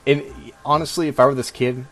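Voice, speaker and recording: A man hosting a casual podcast, ranting in a halting, sarcastic way. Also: medium pitch. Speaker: podcast host.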